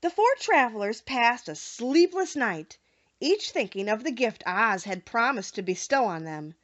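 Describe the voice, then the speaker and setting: A woman narrating an audiobook of a children's story in an expressive, carefully phrased way. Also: on the high side, measured pace, lo-fi sound.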